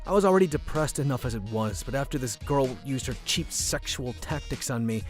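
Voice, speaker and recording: Manga dub lead: man voices a shy, earnest high school geek with a lively pitch range, over light music. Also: fairly quick, close to an everyday voice. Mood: glum, reflective. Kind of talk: manga dub narration and dialogue.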